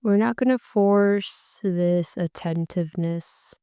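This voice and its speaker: A woman guides a meditation in an unhurried, gentle way, letting her phrases stretch out. Her voice is mellow and warm, sitting a little low, and comes through very smooth and clear. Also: dry recording, clean studio sound. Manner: slow, soft and soothing, drawn-out phrases.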